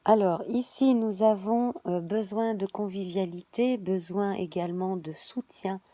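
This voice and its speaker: A woman, reading a monthly tarot spread while she has a cold, halting and filling pauses. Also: medium pitch, dark tone, no echo. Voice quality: hesitant, many fillers and restarts. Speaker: tarot reader.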